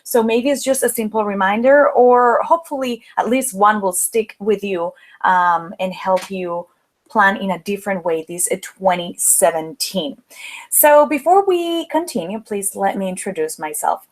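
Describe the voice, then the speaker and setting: A woman's voice: mid-range voice, moderate pace. Young woman, dominican spanish accent, peppy webinar host chatting about goals into a computer mic. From Dominican Republic. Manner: upbeat, motivational, chatty with fillers. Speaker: webinar host, planner business owner. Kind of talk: live webinar presentation.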